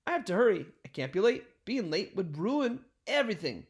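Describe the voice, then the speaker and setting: Man playing a young turtle boy, storybook, eager, earnest, emphatic, slightly high voice. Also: big pitch swings, moderate pace. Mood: hurried, anxious. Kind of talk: storybook character voice.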